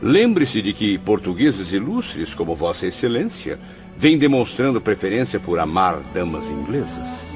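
A man playing a sarcastic, needling gentleman in a radio drama, mocking with exaggerated formality. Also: sits low, big pitch swings, normal speed. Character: Camilo, sarcastic, needling Portuguese gentleman with a difficult temper. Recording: dull lo-fi, music behind.

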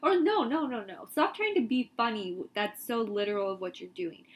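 Animated young woman, fairly high voice. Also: normal speed. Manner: animated, laughs often, emphatic. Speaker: podcast co-host. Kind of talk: casual chat.

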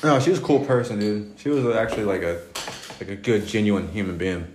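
A man's voice: fairly low pitch, a little mellow. A laid-back man swapping loose stories, cursing freely and breaking into laughter. His voice rings in an echoey room over a faint hiss of noise. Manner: laughs often, swears, drawn-out storytelling. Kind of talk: casual crude chat.

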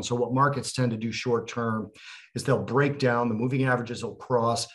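A man co-hosting a markets show, explaining trading ideas conversationally, step by step, with firm opinions. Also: medium pitch. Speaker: co-host, futures trader.

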